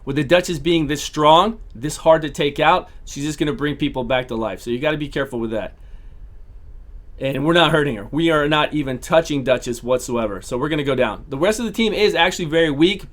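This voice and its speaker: Slightly high-voiced man commentating gameplay. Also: fairly bright tone. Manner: talks through battles, repeats phrases, clears throat. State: voice going hoarse. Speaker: gaming channel host.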